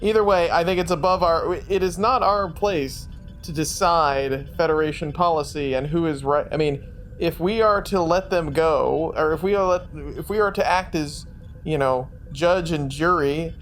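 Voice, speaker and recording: A man's voice: fairly high pitch, normal speed, fairly bright tone, soft background music. A man playing a tabletop role-playing game, moving between casual banter and wordy, theatrical improvised lines.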